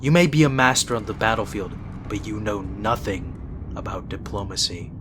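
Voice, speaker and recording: A man's voice: fairly low pitch, normal speed, a bit bright, slight background noise, natural voice. A man playing a principled, exasperated younger-brother vampire prince in an audio roleplay, speaking in an earnest, reproachful way. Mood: disbelieving, critical.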